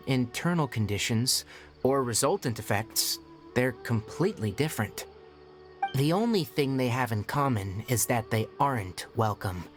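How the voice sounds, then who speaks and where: A calm young forest ranger and healer voiced by a man talks in a mid-range voice with lively rises and falls, matter-of-fact and lightly teasing. Game music is clearly heard behind him. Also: mid-range voice, lightly acted. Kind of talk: video game voice acting.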